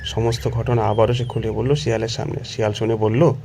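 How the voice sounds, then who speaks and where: A man narrating a scripted children's story in an unhurried storytelling manner. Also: lowish voice, level tone, gravelly, warm tone, slight background noise.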